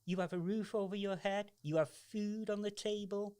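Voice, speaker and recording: A woman's voice: medium pitch, fairly even intonation, moderate pace, dark tone, clean tone, natural voice. A woman playing a hard, scornful ex-military relative in a radio play, speaking bluntly and dismissively.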